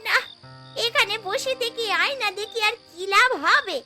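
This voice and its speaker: A woman's voice: very high-pitched, measured pace. A woman playing a scheming female ghost who longs for married life, theatrical and gloating in a fairy-tale cartoon. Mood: wistful, self-pitying. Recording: music behind the voice.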